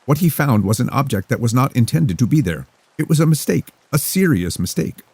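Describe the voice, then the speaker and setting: A man voicing a calm, mysterious young woman who speaks formally and rhythmically. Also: mid-range voice, creaky, husky, no room sound, pitched above the performer's own voice, full character voice. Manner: formal, rhythmic, unhurried delivery.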